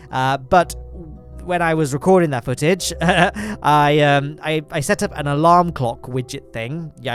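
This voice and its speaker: A slightly high-voiced English man with a nasal, hay-fever-congested sound, chatting his way through a space game. He rambles, restarts his sentences and pokes fun at himself, with faint music underneath. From England. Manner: rambling, self-deprecating, lots of fillers and restarts. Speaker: gaming channel host. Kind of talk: chatty gameplay commentary.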